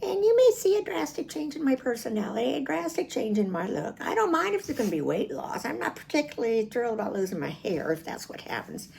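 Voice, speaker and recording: A woman's voice: on the high side, sing-song, fast talker, gravelly, few pauses, darkish tone. An American woman in her seventies talking casually in a personal video diary, chatty and rambling, prone to chuckling. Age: 70s. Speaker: vlogger.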